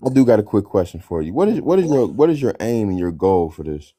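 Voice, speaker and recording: A man's voice: fairly low pitch, sing-song, quick pace, warm tone. A man calling in to a livestream, rambling and stammering as he argues. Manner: rambling, stammering, repeats words. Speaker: caller. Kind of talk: casual argumentative chat.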